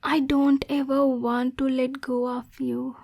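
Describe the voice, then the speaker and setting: A woman playing a lonely, lovesick demon girl in an intimate ASMR roleplay, softly spoken and breathy, nervous yet possessive. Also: high-pitched, flat delivery, takes it slowly, heavily acted. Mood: smitten.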